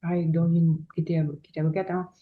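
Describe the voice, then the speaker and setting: A woman's voice: lowish voice, flat delivery, very fast, phone-quality sound. A woman lawyer and author, a guest in an interview, talking in a hesitant, restarting way full of fillers. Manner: hesitant, many restarts and fillers, laughs often. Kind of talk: casual interview conversation.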